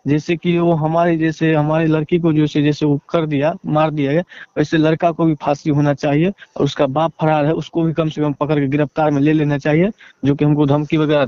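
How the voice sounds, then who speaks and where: A man with a Bihari accent giving a halting, deferential account in a phone interview, leaning on repeated filler phrases. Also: a little high, little pitch movement, mellow, no room sound. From Bihar, India. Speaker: interviewee, relative seeking justice. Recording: dull lo-fi phone call.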